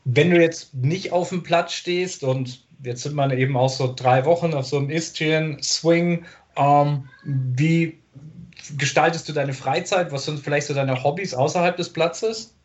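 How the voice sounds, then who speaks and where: A man leads a sports interview with long, rambling questions, often stumbling as he looks for the right word. His voice sits a little high for a man. The recording is dull and lo-fi. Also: a little slow. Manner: chatty, searching for words. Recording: dull lo-fi sound.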